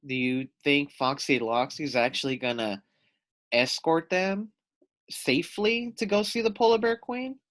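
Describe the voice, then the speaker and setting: A man's voice: a little high, unhurried, fairly bright tone. A man reading a storybook aloud like a teacher, expressively, talking directly to young listeners. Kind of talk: storybook read-aloud with questions for children.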